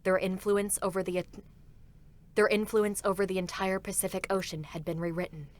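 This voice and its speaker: A woman giving a measured, formal scripted narration of a dramatic game story. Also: medium pitch, level tone.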